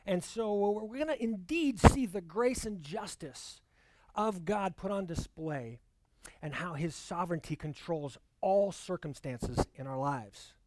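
A man preaching a sermon and reading scripture aloud in an earnest, measured way, slowing down on key words. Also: high voice, darkish tone, no room sound.

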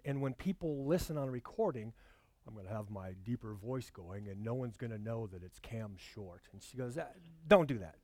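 A man preaching a sermon and reading scripture aloud in an earnest, measured way, slowing down on key words. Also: medium pitch.

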